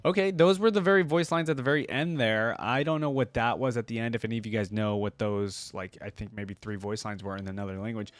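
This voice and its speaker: A man's voice: fairly low pitch, fast, hardly any pauses, no room sound. A man giving casual, enthusiastic reaction commentary as a video host, informal and chatty, on a close mic. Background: faint music, game voice lines.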